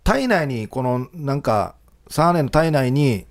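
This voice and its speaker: A man's voice: mid-range voice, big pitch swings, unhurried. A man co-hosting a radio show in casual, bantering talk. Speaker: radio co-host.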